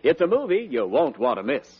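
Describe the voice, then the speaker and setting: A man's voice: fairly high pitch, wildly swooping pitch, a little fast, husky. A male American radio announcer reading scripted ads and narration in 1940s broadcast style. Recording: dull vintage radio broadcast.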